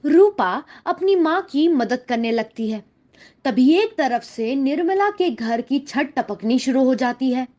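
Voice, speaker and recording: A woman's voice: sits high, animated, measured pace, darkish tone, muffled recording. A woman narrating a scripted children's story as the storyteller.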